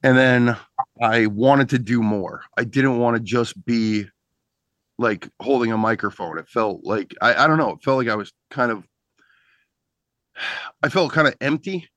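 A middle-aged man from Pennsylvania, a band's singer and bassist, chatting on a video call in a rambling way with many restarts. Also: measured pace, long pauses, fairly bright tone, dry recording. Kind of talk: casual interview chat.

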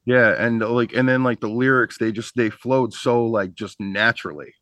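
A middle-aged man from Pennsylvania, a band's singer and bassist, chatting on a video call in a rambling way with many restarts. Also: fairly even intonation, normal speed, fairly bright tone, no echo, clean studio sound. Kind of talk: casual interview chat.

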